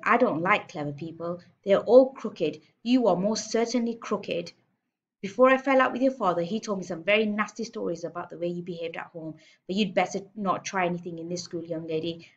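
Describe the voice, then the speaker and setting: A woman's voice: fairly low pitch, dull audio, close to an everyday voice. A woman voicing a domineering, contemptuous headmistress who barks and sneers in a children's storybook reading. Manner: barking, scolding, sneering insults. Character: domineering, bullying adult headmistress, contemptuous and loud. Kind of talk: children's storybook read-aloud.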